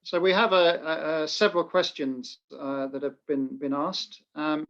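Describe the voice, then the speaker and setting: A man moderates a panel, handing the floor from one speaker to the next with frequent "uh"s and restarts. His voice sits a bit higher than usual for a man, and the call audio is muffled and dull. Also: flat delivery, a bit bright. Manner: halting, many filled pauses. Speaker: webinar moderator. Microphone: video call.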